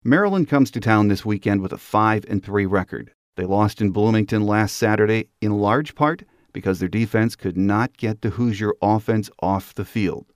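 Low-voiced male sports podcast host. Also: normal speed, hardly any pauses, no room sound, studio quality. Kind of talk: scripted sports podcast narration.

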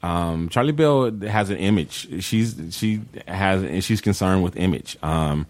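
A man hosting a casual panel chat, speaking haltingly as he searches for words. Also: low voice, expressive. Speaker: talk show host.

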